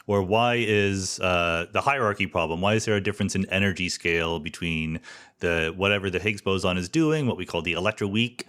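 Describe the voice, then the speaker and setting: A man's voice: low-pitched, brisk, fairly bright tone. A man hosting a podcast interview, carefully summarizing ideas in his own words, with some stammered restarts. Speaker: podcast host.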